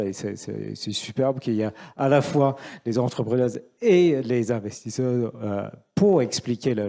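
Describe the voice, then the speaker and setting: A man's voice: mid-range voice, warm tone. A middle-aged man from England with an English accent, a native English speaker, explaining his view as a panel speaker. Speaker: panel speaker, finance professional.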